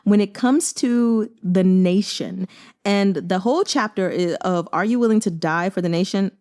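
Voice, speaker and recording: An American woman talks through a book in a casual, conversational style. Her voice sits at a middling pitch. She is expressive and leans into emphatic exclamations as she shares her reactions. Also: moderate pace. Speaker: book reviewer and host. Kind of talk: casual chatty book commentary.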